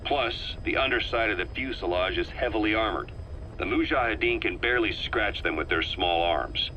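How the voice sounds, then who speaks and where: Deep, intense military briefer. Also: male voice, normal speed, pushed and bright, lo-fi sound, slight background noise. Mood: grave, deliberate. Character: grim, seasoned male soldier briefing on a war. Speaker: military briefing narrator in a game. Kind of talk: scripted briefing monologue in a video game cassette tape.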